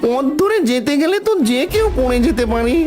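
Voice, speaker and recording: A crafty cartoon fox acted by a woman, fairly high and very animated. The thief schemes and boasts greedily, with music clearly playing behind. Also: fairly quick. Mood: wheedling. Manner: scheming, gloating, wheedling. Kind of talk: animated children's fable dialogue.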